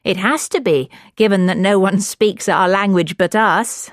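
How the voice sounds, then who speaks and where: A woman giving a calm, clear, unhurried sample answer in a scripted exam speaking recording. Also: medium pitch, no room sound, studio quality.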